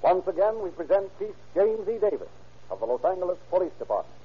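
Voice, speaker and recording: A man's voice: sits high, big pitch swings, fast talker, husky. A man announcing on 1940s radio with an emphatic, promotional delivery. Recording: tinny old radio with faint music.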